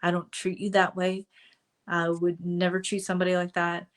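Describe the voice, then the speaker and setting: A woman coaching viewers in a casual live stream, chatty, with many fillers, and slightly hoarse from a cold. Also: fairly low pitch, monotone, normal speed. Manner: chatty, with frequent fillers and asides.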